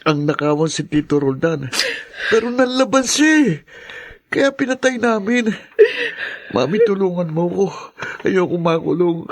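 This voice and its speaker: A whiny, high-pitched spoiled son. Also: male voice, animated, unhurried, long pauses, no room sound. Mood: tearful pleading. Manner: whiny, pleading. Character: spoiled, frightened young criminal son, clinging to his mother. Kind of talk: Filipino radio drama acting.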